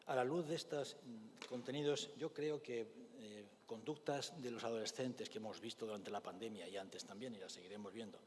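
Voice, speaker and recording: A man with a Spanish accent, a clinician giving a forum talk in a measured, thoughtful way, often pausing with hesitation fillers. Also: on the low side, big-room sound, slight background noise. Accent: Spain. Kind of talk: forum lecture with storytelling.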